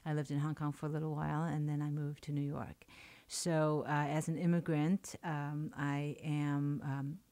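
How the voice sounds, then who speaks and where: A woman's voice: deep voice, little pitch movement, moderate pace, hardly any pauses, mellow, no echo. A woman from China whose first language is Chinese, a poet and professor speaking as a radio interview guest, thoughtful, with many fillers and restarts. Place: radio studio.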